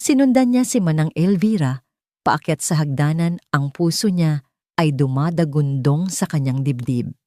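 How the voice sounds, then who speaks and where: A woman's voice: sits low, lively intonation, moderate pace, dark tone, no room sound, clean studio sound. A woman with a Filipino accent reading a scripted dramatic story as a narrator.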